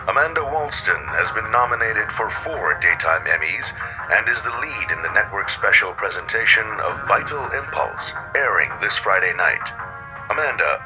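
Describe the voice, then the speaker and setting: A man playing a smooth tabloid television host, polished and probing as he interviews a guest. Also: lowish voice, low-quality recording. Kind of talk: scripted TV presenting in audio drama.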